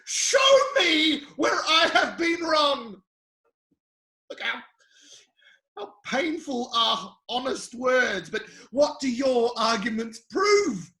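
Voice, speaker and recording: Casting: actor for anguished, impassioned biblical sufferer, slow and halting delivery, dramatic reading in an echoing room. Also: sing-song, heavily acted. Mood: indignant pleading. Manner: impassioned, halting, swinging from low murmurs to anguished shouts. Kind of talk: epic dramatic Bible reading. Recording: roomy, echoing space.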